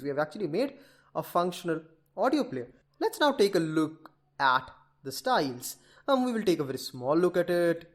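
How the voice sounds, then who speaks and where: Man, slightly high-pitched, informal, chatty, rambling, coding tutorial presenter. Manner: chatty, rambling, addresses viewers as guys.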